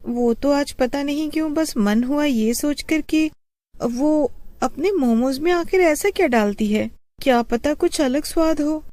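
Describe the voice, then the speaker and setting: A woman voicing a young, curious, fixated wife in an animated horror story, musing and gently pleading. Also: high voice, measured pace, dry recording, voice pushed up. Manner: musing, questioning.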